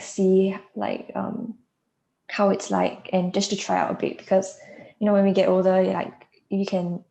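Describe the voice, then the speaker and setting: A woman, a student answering in an interview in a flat way, full of filler likes. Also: medium pitch, vocal fry, highs cut off. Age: 20s. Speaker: university student. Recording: echoey room.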